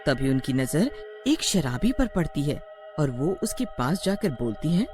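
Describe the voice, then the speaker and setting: Animated horror-story narrator over music. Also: medium pitch, measured pace. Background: background music.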